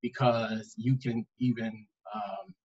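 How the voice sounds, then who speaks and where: A man speaking earnestly as a panelist in a webinar discussion, explaining at length with pauses. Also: mid-range voice, flat delivery, a little mellow, breathy edge, lo-fi sound. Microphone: video call.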